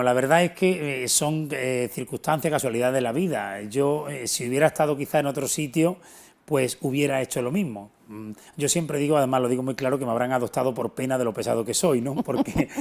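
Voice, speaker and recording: A man's voice: mid-range voice, fairly quick. A middle-aged man, giving earnest, explanatory and emphatic answers as an interview guest. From Antequera, southern Spain. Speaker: interview guest, local historian. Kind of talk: unscripted television interview answers.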